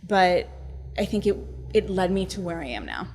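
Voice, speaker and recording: A young adult woman, a neuroscientist and startup founder, talking calmly and reflectively as an interview guest. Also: fairly low pitch, moderate pace, slight background noise. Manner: calm, soothing, reflective. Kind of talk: casual interview conversation.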